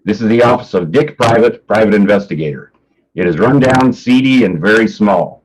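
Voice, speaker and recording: A man's voice: lowish voice, animated, a little fast, husky, rough recording. A man presenting a staged play reading as announcer and stage directions reader, plain and matter-of-fact. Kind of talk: scripted narration of stage directions.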